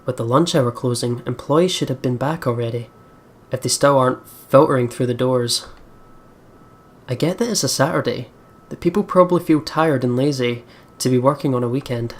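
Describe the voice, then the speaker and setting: A woman hosting a let's play, reading visual novel narration and reacting in casual, expressive, sweary commentary. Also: extremely low pitch, moderate pace.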